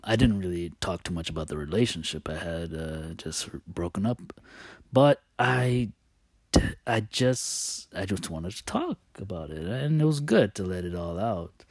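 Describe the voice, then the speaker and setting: A man telling personal stories in a casual, confessional way, halting and thinking aloud as he often loses his thread. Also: deep voice, darkish tone, no echo.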